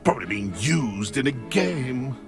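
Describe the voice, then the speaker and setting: An actor playing a haughty, mocking ghost knight in a video game, with a British accent and a pompous, theatrical delivery. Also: male voice, mid-range voice, lively intonation, unhurried, gravelly, over music. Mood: smug, dismissive. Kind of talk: video game character acting.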